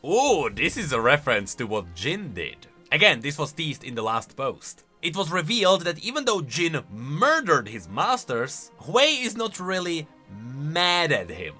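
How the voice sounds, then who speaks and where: A man giving casual, opinionated reaction commentary as a gaming lore commentator, in an animated manner. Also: on the high side, a little slow, few pauses, husky, soft background music.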